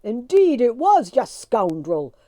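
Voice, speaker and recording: A woman voicing Tim, a hot-tempered Irish peasant man with an Irish lilt and loud outbursts. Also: medium pitch, big pitch swings, normal speed, no room sound, clean studio sound. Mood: angry.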